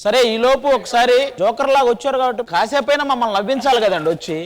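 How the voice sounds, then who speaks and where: A man hosting a TV dance show, trading quick teasing banter with contestants and judges on a studio stage. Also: extremely high pitch. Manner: teasing, quick back-and-forth. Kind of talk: rapid banter on a TV reality show. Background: music.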